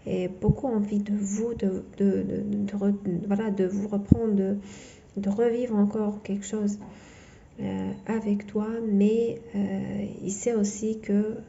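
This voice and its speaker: Gravelly, dark-voiced woman tarot reader. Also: mid-range voice, level tone, lo-fi sound, a little hiss or hum. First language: not French. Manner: halting, many fillers and restarts. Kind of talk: tarot reading to camera.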